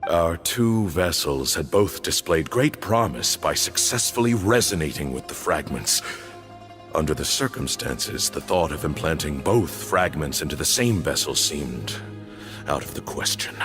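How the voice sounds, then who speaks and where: A man playing a stern, bitter temple leader in a video game, speaking in a formal, grave, measured way. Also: very deep voice, animated. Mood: solemn, measured. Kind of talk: dramatic video game voice acting. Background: background music.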